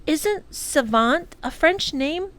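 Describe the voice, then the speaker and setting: A woman's voice: high voice, animated, slow, fairly bright tone, voice pushed up, exaggerated voice. A woman voicing eager, curious, sweet children in a storybook, with a wide-eyed, questioning manner. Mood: inquisitive. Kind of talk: children's storybook character reading.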